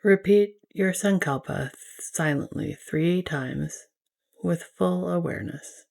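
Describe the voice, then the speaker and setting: A woman leading a guided yoga nidra meditation as a teacher, giving gentle, soothing instructions. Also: on the low side, slow, gravelly.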